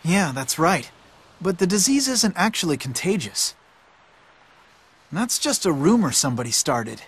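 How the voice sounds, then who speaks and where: A man's voice: fairly high pitch, sing-song, a little slow, husky, heavily acted. A man voicing a streetwise, cynical boy in a video game, blunt and matter-of-fact, like a bossy older friend. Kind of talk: video game cutscene voice acting.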